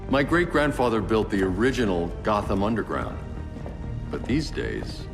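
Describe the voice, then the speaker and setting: Very deep, grave vigilante. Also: male voice, huge pitch swings, vocal fry, exaggerated voice. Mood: stern, guarded. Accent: American. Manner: terse, stern, deliberate. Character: grave, guarded billionaire vigilante, middle-aged man. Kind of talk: video game cinematic acting. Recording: long reverb under loud music.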